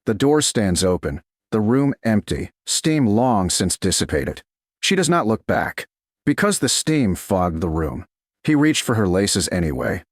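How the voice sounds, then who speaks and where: A man reads a romance audiobook in a slow, soft, deliberate way. His voice is low but moves through a lively, melodic range. The recording is dry and close, with no audible room. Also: no echo. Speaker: narrator. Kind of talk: scripted audiobook narration of a romance.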